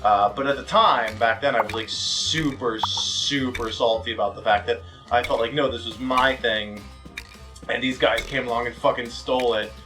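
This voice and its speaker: A man's voice: mid-range voice, fairly even intonation, normal speed, far from the mic. A man giving rambling, profane, self-deprecating commentary while playing a video game. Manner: exclaims at the game mid-sentence. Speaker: video game let's player. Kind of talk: rambling casual gameplay commentary. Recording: music playing behind the voice. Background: music.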